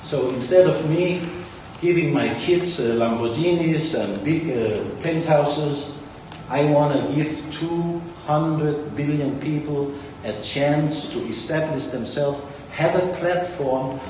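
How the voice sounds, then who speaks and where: A man's voice: a little high, slow pace, darkish tone, slight background noise. A man with a Danish accent giving a rambling, boastful conference speech as a company founder. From Denmark. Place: large conference hall. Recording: distant mic in an echoey hall with faint ambience.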